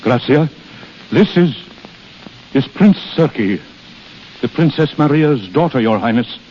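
An actor playing an anxious, dignified middle-aged duke, speaking gravely and haltingly in 1930s radio style. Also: male voice, mid-range voice, expressive, a little hiss or hum, close to an everyday voice. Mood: formal, uneasy. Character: anxious, dignified duke hosting a dreaded guest. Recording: muffled 1930s radio recording.

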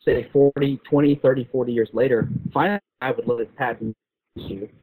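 Male guest expert, husky voice, informal explainer who restarts phrases, over a thin phone-like connection. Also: mid-range voice, steady tone, a little fast. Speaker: guest expert on patent law. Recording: roomy, phone-like call audio.

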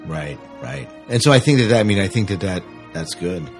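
A man's voice: low-pitched, expressive, fairly quick, faint music behind. A man co-hosting a podcast, chatting casually about films. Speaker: podcast co-host. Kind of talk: casual film review chat.

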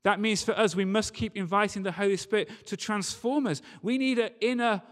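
Guy preaching in a big echoey church, highish voice, really earnest, repeats key lines for emphasis. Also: brisk, a bit bright. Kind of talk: church sermon. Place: large reverberant church or hall. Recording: long hall reverb.

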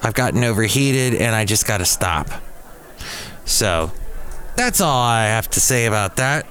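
A man's voice: lowish voice, big pitch swings, a little slow, a bit bright, a little hiss or hum. A man hosting a solo podcast in a rambling, digressive way, with playful puns. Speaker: podcast host.

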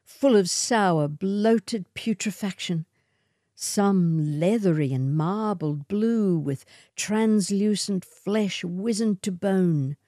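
A woman reading a literary short story in a measured, atmospheric way. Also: medium pitch, warm tone, dry recording, studio quality.

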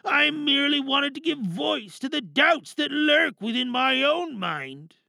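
High-pitched, pompous villainous leader. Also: male voice, sing-song, a little slow, gravelly, bright, forceful tone, dry recording, studio quality, full character voice. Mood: suspicious, probing. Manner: theatrical, pompous, menacing oratory. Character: grandiose, paranoid villainous cult-like leader addressing followers. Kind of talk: dramatic audio theatre monologue.

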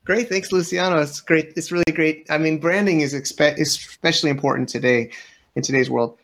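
Man, panel host, slightly high voice, casual, chatty, encouraging, unscripted. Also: fairly even intonation, brisk, fairly bright tone.

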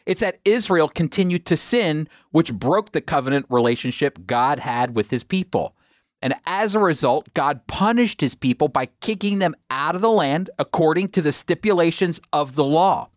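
A man's voice: a little high, animated, moderate pace, dry recording, clean studio sound. A man teaching the Bible on a radio program, emphatic, with rhetorical asides to his listeners.

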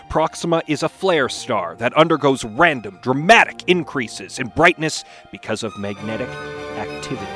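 A man performing as a solemn dramatic reader, deliberate and full of weighty pauses. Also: mid-range voice, close to an everyday voice. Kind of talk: mock dramatic reading of an encyclopedia text. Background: music behind the voice.

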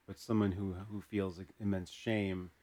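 A man with a fairly low voice co-hosts a casual talk. He feels his way through his thoughts, restating and summarizing as he goes. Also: flat delivery, normal speed, warm tone. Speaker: podcast co-host. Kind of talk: casual conversation.

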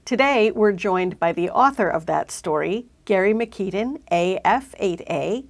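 A woman's voice: mid-range voice, expressive, a little slow. A woman hosting a podcast, introducing and interviewing a guest. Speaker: podcast host. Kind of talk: scripted introduction and interview questions.

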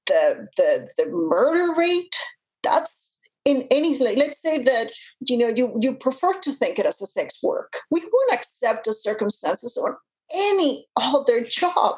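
Interview guest: woman, early fifties, husky voice, earnest, halting, restarts a lot. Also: on the high side, very animated, a little mellow, rough recording. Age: 50s. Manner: earnest, many restarts and fillers. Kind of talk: interview talk.

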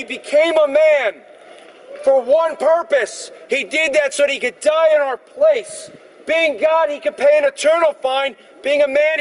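Very high-pitched male preacher, emphatic. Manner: emphatic, projected. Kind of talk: sermon.

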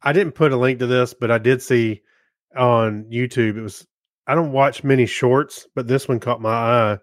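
A man's voice: mid-range voice, steady tone, normal speed, no echo, clean studio sound. A man co-hosting a podcast in a casual, easygoing, conversational way. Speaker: podcast co-host. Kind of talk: casual chat.

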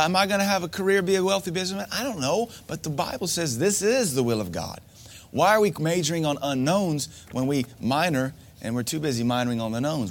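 A man's voice: on the high side, fairly bright tone. Emphatic male preacher. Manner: emphatic, repetitive preaching with rhetorical questions. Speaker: pastor. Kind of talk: sermon.

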